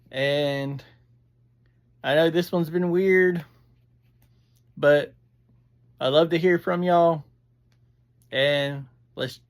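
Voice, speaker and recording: A reviewer, chatting casually in a halting, digressive way as host of a review. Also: medium pitch. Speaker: audio drama reviewer, host. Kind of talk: casual rambling review chat.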